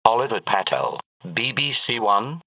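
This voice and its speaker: A man's voice: medium pitch, animated, unhurried. A synthetic man's voice playing a serious television news reporter reading a formal, stilted bulletin. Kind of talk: scripted breaking news report. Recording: dull lo-fi distant broadcast.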